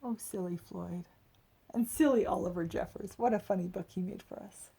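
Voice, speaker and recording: A woman reading a picture book aloud to children, with expressive dramatic emphasis and chatty asides to her listeners. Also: mid-range voice, normal speed, gravelly, slightly rough.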